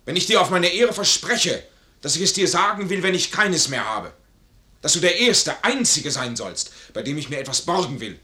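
An actor playing a proud, honorable army major in a 1950s German radio play, with formal, emphatic stage diction. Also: male voice, fairly high pitch, big pitch swings, moderate pace, bright, forceful tone. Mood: agitated, insistent.

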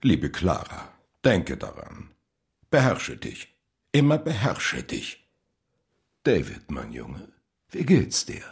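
Husky, very low, menacing stepfather. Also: huge pitch swings, takes it slowly, pauses often, exaggerated voice. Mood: controlled, stern. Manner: controlled, stern, commanding. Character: cold, menacing, domineering stepfather in Victorian England. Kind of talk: German radio drama acting.

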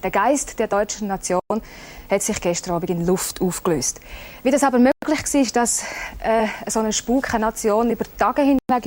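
A woman's voice: a little high, a little mellow. Woman hosting a talk show. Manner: chatty, slightly halting presenter with fillers.

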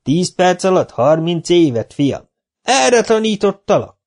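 A man's voice: high voice, big pitch swings, a little mellow, pitched above the performer's own voice. A man voicing a tipsy elderly teacher who scolds and lectures his former pupil. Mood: indignant. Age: older. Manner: indignant, lecturing.

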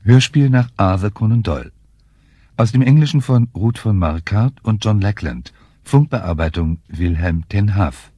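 Low, bright, husky male radio announcer. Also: lively intonation, unhurried, dry recording. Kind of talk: scripted closing credits.

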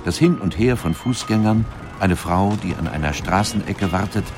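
A man reading a documentary narration in a calm, even way. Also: deep voice, normal speed, vocal fry, over music. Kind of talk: scripted narration. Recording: dry studio voice-over.

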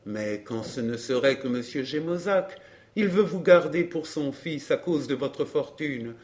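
A man's voice: on the high side, lively intonation, unhurried, darkish tone. A man acting a suave, scheming suitor in a dramatised audiobook, with formal, theatrical and impassioned delivery. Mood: earnest pleading. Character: Georges, suave scheming suitor, pleading then violent.

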